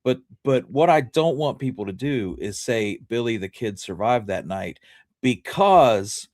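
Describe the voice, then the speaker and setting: A man co-hosting a casual history discussion, explaining his points in a measured, deliberate way. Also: medium pitch, lively intonation, a little mellow, dry recording, clean studio sound. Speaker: podcast co-host.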